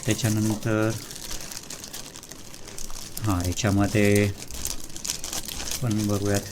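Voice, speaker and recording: A man doing a casual unboxing walkthrough as a vlogger, hesitant, with many fillers and pauses, mixing English words into his speech. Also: lowish voice, completely flat delivery, noisy background.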